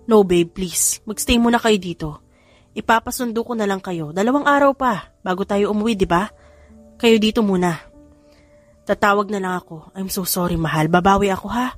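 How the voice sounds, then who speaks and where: A woman's voice: medium pitch, normal speed, natural voice. A woman with a Filipino accent playing a devoted, earnest husband and father in an audiobook. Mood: apologetic, pleading. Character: devoted adult family man, tender and apologetic. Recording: background music under the voice.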